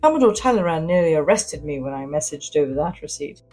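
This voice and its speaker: A woman's voice: deep voice, lively intonation, quick pace, darkish tone, soft background music. A woman playing an arch, teasing, imperious socialite spy in a science fiction audio story. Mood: sly. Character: flirtatious, imperious socialite woman spy, sly and self-assured. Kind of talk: first-person sci-fi spy audio fiction.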